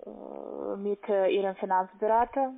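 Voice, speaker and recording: A non-native speaking woman with a foreign accent, working as a call-center investment agent and talking haltingly as she searches for words. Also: medium pitch, fairly even intonation, gravelly. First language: not German. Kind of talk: phone sales conversation. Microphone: telephone. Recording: tinny narrow phone line.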